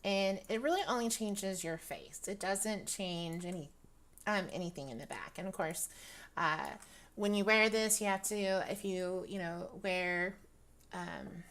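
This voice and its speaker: A young woman with a dry, raspy voice, chatting casually through a product review with lots of ums and restarts. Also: mid-range voice, a little slow, fairly bright tone. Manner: rambling, many ums, laughs often. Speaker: virtual-world fashion vlogger.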